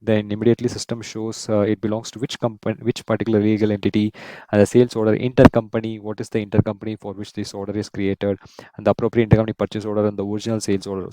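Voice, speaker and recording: A man in his thirties explaining software step by step as a tutorial host, often checking in with a "right?". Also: on the low side, dry recording, rough recording. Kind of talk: screen-share software tutorial, semi-scripted explanation.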